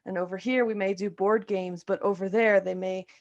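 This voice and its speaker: A woman's voice: medium pitch, fairly even intonation, moderate pace, darkish tone, highs cut off. A woman from New Mexico hosting a casual interview, upbeat, enthusiastic and chatty. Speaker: host, coach.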